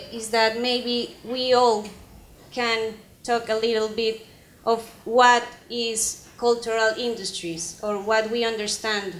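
A woman's voice: fairly high pitch, fairly bright tone. A woman with a Colombian accent chairs a conference panel, speaking hesitantly with many fillers. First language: Spanish. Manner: hesitant, many fillers, apologetic about her English. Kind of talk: panel moderation. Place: conference stage, large hall. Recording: roomy hall sound, faint noise.

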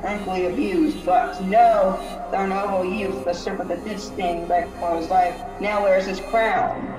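A woman voicing the solemn, aggrieved ghost of a murdered king, speaking in slow, archaic, portentous phrases in a tabletop game. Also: mid-range voice, fairly even intonation, close to an everyday voice. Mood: solemn, grave. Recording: echoey, distant, music underneath. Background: music.

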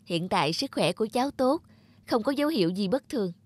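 A woman acting a calm, reassuring young hospital nurse in an animation, formal in manner. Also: mid-range voice, fast talker, breathy edge, no echo. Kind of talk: animated moral-tale dubbing.

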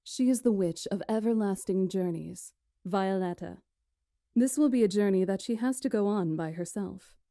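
A woman's voice: mid-range voice, moderate pace, dry recording, clean studio sound, lightly acted. A woman voicing a composed, authoritative witch in a visual novel, with formal, stately delivery. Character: composed, authoritative witch presiding over negotiations. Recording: loud music over the voice.

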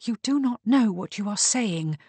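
A woman's voice: mid-range voice, animated, moderate pace, dark tone, breathy edge, no room sound, pitched above the performer's own voice. A woman voicing an anxious wife who pleads and admonishes with urgency in an audiobook. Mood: breathless.

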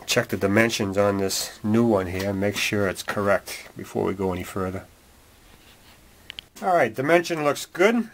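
A man giving casual hands-on how-to commentary in a folksy, plainspoken way, as a repair tutorial presenter. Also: on the low side. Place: garage workshop, at engine bay and workbench. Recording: clearly noisy workshop recording with clicks. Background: noise, tool clicks.

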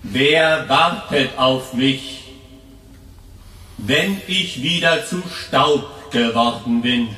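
Echoing, very slow solemn atheist. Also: male voice, mid-range voice, pushed and bright. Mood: solemn, searching. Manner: solemn, declamatory. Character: solemn questioning atheist calling out in empty church. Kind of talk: declamatory radio play monologue. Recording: echoing empty church, distant from mic.

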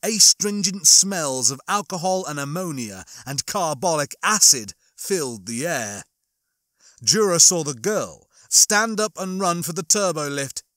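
A man reading a horror novel as an audiobook narrator, in a dramatic, emphatic style with drawn-out phrases. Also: fairly high pitch, expressive, fairly bright tone, no echo, clean studio sound. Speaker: audiobook narrator and channel host.

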